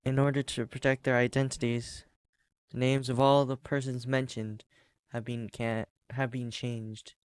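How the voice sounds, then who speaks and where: A man doing a casual game playthrough, reading the story text aloud in a halting, stumbling way. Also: mid-range voice, little pitch movement, clear, resonant tone, dry recording. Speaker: gaming video host reading a visual novel aloud. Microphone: desk USB condenser microphone. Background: game music.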